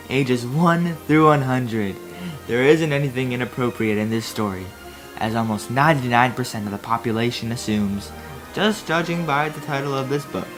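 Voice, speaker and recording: A woman hosting a humorous fan fiction reading, with a giggly, sarcastic, casual way of talking. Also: very deep voice. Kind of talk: casual humorous commentary and read-aloud.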